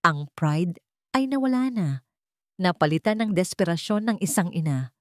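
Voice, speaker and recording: A woman's voice: on the low side, animated, moderate pace, dry recording, studio quality. A woman reading scripted fiction as a narrator, in a solemn, emotive storytelling style.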